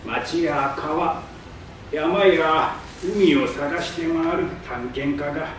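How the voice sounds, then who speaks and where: A man playing a pompous, eager old geographer in a theatrical staged reading, with drawn-out, emphatic pronouncements. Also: a little high. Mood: matter-of-fact. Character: pompous, eager old male geographer, self-styled scholar. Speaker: stage reader. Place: public hall stage. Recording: distant mic in echoey hall, faint noise.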